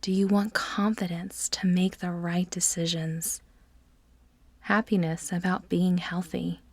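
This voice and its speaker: A woman narrating a guided meditation in a soft, breathy, near-whispered voice, soothing and measured, with deliberate pauses between phrases. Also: fairly low pitch, flat delivery, no room sound.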